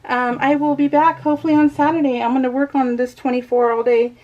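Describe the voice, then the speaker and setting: A woman chatting casually to her viewers as a craft vlogger, in an excitable, rambling, giggly way. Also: high-pitched, fast, muffled recording. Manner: addresses viewers familiarly. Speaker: craft vlogger hosting a scrapbooking video.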